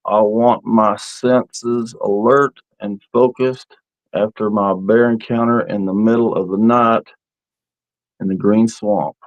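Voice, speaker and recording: A man's voice: on the low side, fairly even intonation, slow pace, dry recording, low-quality recording. A man in his fifties chatting casually on an outdoor live stream in a folksy, rambling storytelling way. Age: 50s. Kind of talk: casual live-stream chat about camping.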